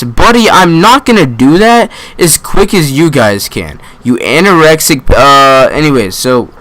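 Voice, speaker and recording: A young man telling a story in a casual, slangy, profane style, acting out asides and joking at his own expense. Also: medium pitch. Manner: animated retelling with quoted asides and self-deprecating jokes.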